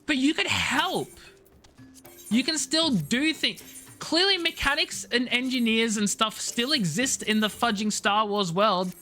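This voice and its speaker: A man chatting casually as a live game streamer, in an animated, expressive way with emphatic, drawn-out words. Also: very high-pitched. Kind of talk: casual game stream chat, reading story dialogue and viewer messages aloud. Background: game music.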